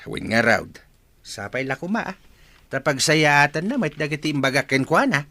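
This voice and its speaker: A man's voice: a little high, very animated, moderate pace, sharp tone, no room sound. A man with a northern Philippine accent playing an elderly village father who frets and admonishes in a comedy radio drama. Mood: fretful, advising. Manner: scolding, advising.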